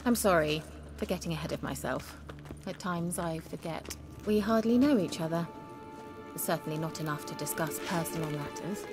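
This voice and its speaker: A woman's voice: sits low, moderate pace, over music, natural voice. A woman playing a confident, flirtatious sorceress with a formal, self-assured manner in a video game. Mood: wistful. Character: confident, haughty, flirtatious sorceress guiding a witcher.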